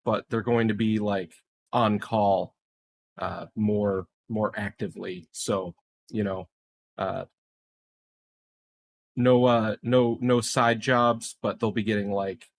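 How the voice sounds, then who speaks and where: Male tabletop player, lowish voice, informal, hesitant, halting casual chat. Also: steady tone, gravelly.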